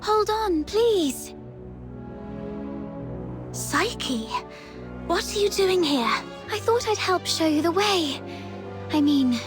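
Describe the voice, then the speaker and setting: High-pitched, earnest young game heroine. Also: female voice, animated, slow, long pauses, full character voice. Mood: hesitant, earnest. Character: gentle, earnest, eager young woman, nature-loving local guide. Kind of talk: video game voice acting. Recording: loud game music behind.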